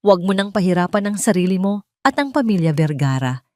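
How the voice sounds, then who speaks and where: A woman narrator plays a cold male lawyer in an audio drama. The delivery is smooth and persuasive, pressing without emotion. The sound is close and dry. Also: fairly low pitch, sing-song, a little fast, darkish tone, no room sound. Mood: firm, insistent. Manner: smooth, cold, persuasive, code-switching between English and Tagalog.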